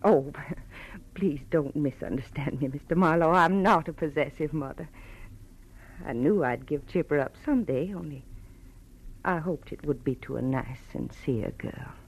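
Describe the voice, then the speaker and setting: A woman's voice: low voice, slightly rough. An actress playing a sweet, brave gray-haired mother, anxious and hesitant, in an old-time radio drama. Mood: wistful, earnest. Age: middle-aged. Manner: hesitant, halting, genteel. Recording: muffled vintage radio recording.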